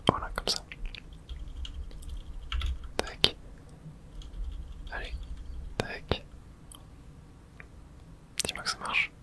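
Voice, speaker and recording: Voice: extremely slow, long pauses. A person whispering a casual ASMR video game playthrough, muttering to themself as they play. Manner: whispering throughout; casual swearing, muttering to self.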